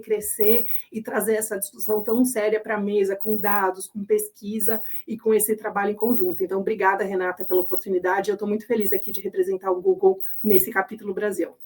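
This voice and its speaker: A woman's voice: medium pitch, brisk, few pauses, darkish tone, husky. A woman with a Brazilian Portuguese accent giving earnest opening remarks as a panelist, sometimes correcting herself mid-sentence.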